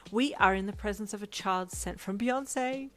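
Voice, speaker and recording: Woman voicing a flamboyant sassy man, swooping high. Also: normal speed, a bit bright, natural voice. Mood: triumphant. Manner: theatrical, exclamatory, camp endearments.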